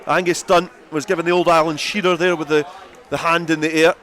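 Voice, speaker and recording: A man's voice: on the high side, flat delivery, a little fast, fairly bright tone. Man commentating on live rugby. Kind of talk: live radio sports commentary. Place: outdoors at a rugby ground.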